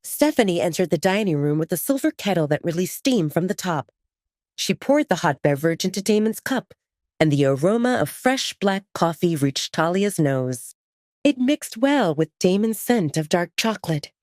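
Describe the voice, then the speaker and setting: A woman's voice: fairly low pitch, big pitch swings, moderate pace, darkish tone, dry recording. A woman reading scripted dramatic story narration as an audio drama narrator, recorded close on a studio microphone.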